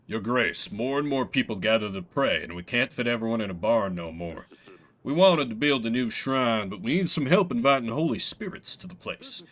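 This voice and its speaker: A man's voice: medium pitch, expressive, moderate pace, a bit bright, pitched below the performer's own voice, close to an everyday voice. A man voicing an earnest peasant in a fantasy game, solemnly petitioning his ruler. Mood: earnest pleading. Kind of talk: acted narrative game dialogue.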